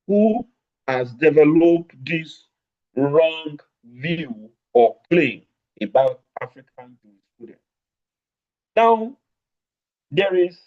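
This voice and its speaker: A man delivers an online law lecture, pressing slowly and deliberately on key words. His voice sits a little high for a man. The sound comes over a dull, lo-fi network connection. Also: darkish tone. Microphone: remote call over network.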